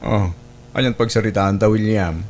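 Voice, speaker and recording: A man's voice: on the low side, fairly even intonation, moderate pace, a little mellow, slight background noise, lightly acted. A man with a northern Philippine accent playing a sensible, admonishing friend in an audio drama. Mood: puzzled. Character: concerned, level-headed friend giving frank moral advice.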